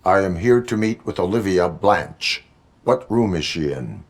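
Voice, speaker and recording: Game casting: low-voiced cyborg lawman, stoic, formal, clipped and deliberate delivery. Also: lively intonation. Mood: calm, flat. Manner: deliberate, clipped, formal speech without contractions. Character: stoic male cyborg police officer, slow and formal. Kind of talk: video game voice acting.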